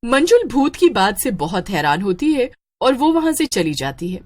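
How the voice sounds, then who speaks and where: A woman's voice: mid-range voice, sing-song, moderate pace, darkish tone. A woman storyteller reading scripted narration for a horror story.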